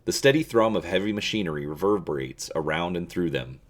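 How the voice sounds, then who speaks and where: A man hosting a casual video and narrating an adventure story aloud in a measured, expressive way. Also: low voice. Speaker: channel host reading a gamebook aloud.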